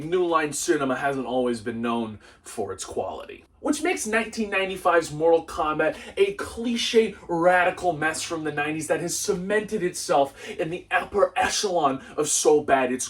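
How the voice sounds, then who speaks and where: Animated high-pitched man reviewing movies. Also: moderate pace, few pauses, distant mic. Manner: animated, exaggerated, sarcastic, swearing. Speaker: video reviewer, host. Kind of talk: casual comedic film review commentary.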